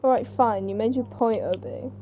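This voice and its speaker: A woman's voice: fairly high pitch, normal speed. A woman reading a story aloud in a casual table read. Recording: dull, noisy home recording.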